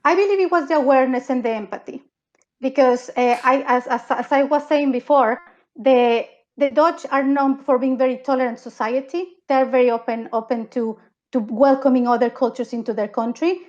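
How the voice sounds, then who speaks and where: A woman from Mexico talks earnestly on a discussion panel, stumbling over repeated words and tagging her points with "right?". Her voice sits high, and the recording sounds slightly dull and muffled. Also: fairly even intonation, normal speed, darkish tone. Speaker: panel speaker.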